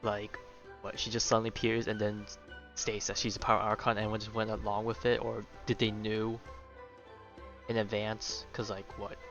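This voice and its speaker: A male streamer chats casually over a game in a fairly low voice. He rambles and muses aloud. The mic sounds a little dull, and game music plays clearly behind him. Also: monotone, moderate pace, fairly bright tone. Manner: rambling, musing. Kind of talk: casual live gameplay commentary. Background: video game music.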